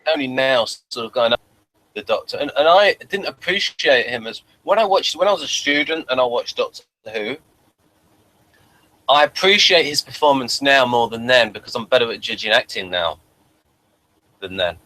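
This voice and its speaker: A man's voice: medium pitch, expressive, normal speed, pushed and bright, rough recording. A man chatting casually as a podcast host and reviewer, opinionated and often doubling back on his phrases. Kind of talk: casual chat.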